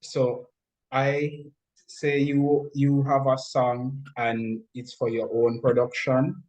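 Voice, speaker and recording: A man speaking as a music producer guest, explaining things deliberately, step by step, in an interview. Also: medium pitch, level tone, darkish tone, poor audio.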